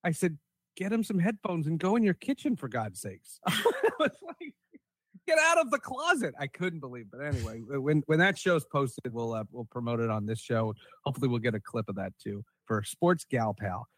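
A man's voice: medium pitch, very animated, normal speed, no room sound. A man hosting a podcast, moving between casual banter and scripted introductions. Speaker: podcast host.

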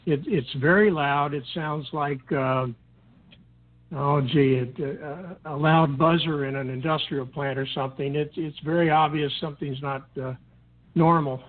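A man giving methodical, measured instructional explanations as a guest, step by step. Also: a little high, fairly even intonation. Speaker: guest explaining radio procedures. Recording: tinny narrow-band phone-like line.

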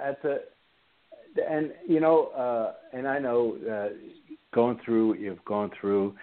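A man's voice: on the low side, phone-quality sound. Man in his sixties hosting radio. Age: 60s. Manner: rambling, chatty, with many fillers. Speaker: radio host.

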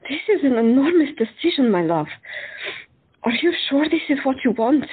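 A woman's voice: high voice, sing-song, moderate pace. A woman voicing a grieving, devoted female doctor in an audio drama, speaking in a trembling, tearful way. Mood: earnest. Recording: thin phone-like sound with faint music.

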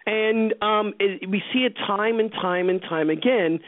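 A man hosting an interview in a reflective, conversational way, halting and repeating words as he builds each thought. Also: sits high, no room sound, narrow, tinny audio. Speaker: interviewer, show host.

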